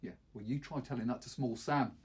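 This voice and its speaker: A man's voice: medium pitch, fairly quick, a little mellow, husky. A man voicing an angry, bitter teenage girl leader in a storybook, exasperated and emphatic.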